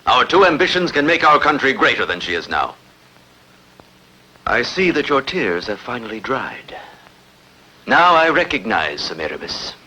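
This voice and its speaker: An actor playing an ambitious, scheming rebel usurper in a dubbed historical epic, with a commanding, menacing, declamatory delivery. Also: male voice, mid-range voice, very animated, moderate pace, pauses often, bright, forceful tone, breathy edge, full character voice, low-quality recording. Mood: coldly scheming. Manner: declamatory, imperious.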